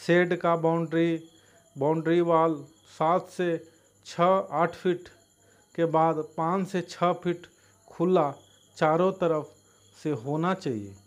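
Slow, higher-pitched man narrating instructions. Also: fairly even intonation. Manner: halting, broken into short phrases. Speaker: farming video narrator.

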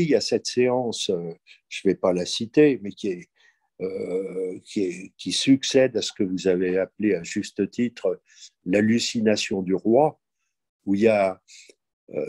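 A man in his seventies speaking reflectively and haltingly as he leads an online discussion, pausing often to think. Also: lowish voice, dark tone, breathy edge, dry recording. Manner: hesitant, halting, thoughtful. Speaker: discussion host, psychoanalyst. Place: indoor room at home, on a video call.

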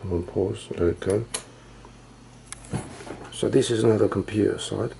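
A man's voice: on the low side, fairly even intonation, moderate pace, vocal fry, mellow, slight background noise. A man casually demonstrating old computer gear, thinking aloud in a rambling, self-deprecating way. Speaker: retro computer hobbyist. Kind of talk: casual hands-on demo.

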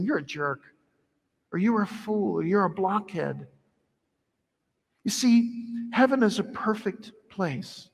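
A middle-aged man, a priest, giving a conversational, animated homily at the altar of a large church. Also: high voice. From Ireland heritage. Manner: animated, conversational preaching with wide arm gestures. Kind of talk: sermon. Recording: long church reverb.

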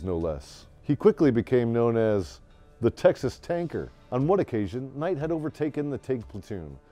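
A man's voice: medium pitch, warm tone. An American man hosting as a storyteller, giving a dramatic scripted tale in a brash, tongue-in-cheek way with crude comic asides. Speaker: host and storyteller. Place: small wood-panelled room, indoors. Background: faint music.

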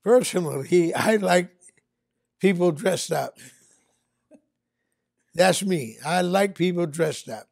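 An older man speaking slowly in an interview, easygoing and chuckling about his church's dress habits. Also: high-pitched, animated, vocal fry, a little mellow, no echo, clean studio sound. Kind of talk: interview reminiscence.

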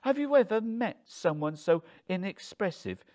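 A man's voice: on the low side, sing-song, slow, exaggerated voice. A man voicing an indignant middle-aged wife in an audiobook, exasperated and haughty as she scolds. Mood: exasperated, mocking.

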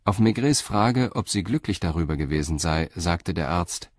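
A man narrates a radio crime drama in a very deep voice. His delivery is measured and even. The recording is dry and close. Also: no echo.

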